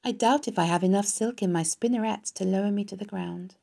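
A woman acts out a dying female spider in a storybook, composed and gently scolding. Her voice has a creaky edge and stays matter-of-fact. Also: mid-range voice, brisk. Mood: weary, resigned.

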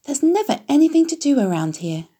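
A woman's voice: high voice, big pitch swings, a little fast, warm tone, pitched above the performer's own voice. A woman voicing a lonely, bored young male pig in a storybook.

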